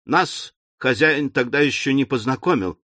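Man playing a polite footman, low, soft, obliging, dry close sound. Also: moderate pace, no echo. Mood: courteous. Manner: courteous, obliging. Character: polite, fussy male footman.

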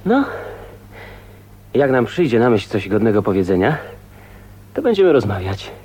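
An actor playing a gruff, chivalrous maverick in a radio drama, talking in a blunt, wry, colloquial way. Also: male voice, on the low side, very animated, moderate pace, dark tone. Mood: relaxed, wry. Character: gruff, casual, chivalrous maverick man, unbothered by reputation.

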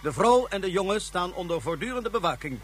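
A man's voice: sits high, big pitch swings, a little fast, a bit bright, slight background noise. A man playing a cold, ruthless Martian ruler in a science fiction radio drama, formal and commanding. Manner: formal, commanding, feigned humility.

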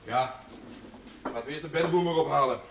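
An actor playing a sober, chiding grown son in a naturalistic 1970s Dutch television drama. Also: male voice, a little high, a little fast, creaky, slight background noise. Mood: matter-of-fact. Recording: echoey room, distant from mic, old TV soundtrack.

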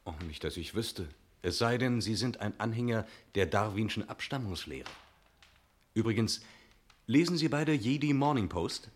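An actor in a 1960s German radio play, playing a breezy, talkative stranger who is glib, jovial and evasively polite. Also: male voice, fairly low pitch, animated, normal speed, a little hiss or hum, lightly acted. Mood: glib, philosophising. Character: breezy, talkative male stranger claiming to own the chalet.

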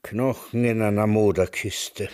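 An actor playing a melancholy, sardonic old intellectual in a radio drama, speaking in a deliberate, ironic and literate way. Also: male voice, lowish voice, fairly even intonation, darkish tone, dry recording, studio quality, lightly acted. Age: older.

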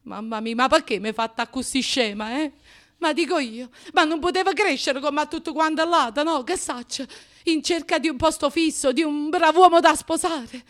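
A vain, wounded Neapolitan woman in a comic radio play. Her voice sits very high and carries a Neapolitan accent. She confides in the listener with passionate, self-mocking theatricality and colloquial, emphatic phrasing. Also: moderate pace, a bit bright. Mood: self-pitying. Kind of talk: radio drama comic monologue.

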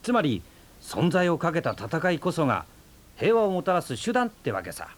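An actor playing a calm, teasing man who lectures in a relaxed way about talk and existence, in a radio drama. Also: on the high side, normal speed. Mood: musing. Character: easygoing philosophical man, fond of debate. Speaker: storyteller and friend of the aunt.

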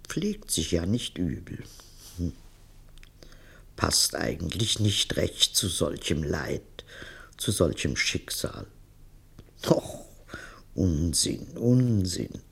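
An actress in a German radio play playing a shrewd, critical old grandmother muttering to herself in a gravelly, husky voice. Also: extremely low pitch, full character voice. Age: older.

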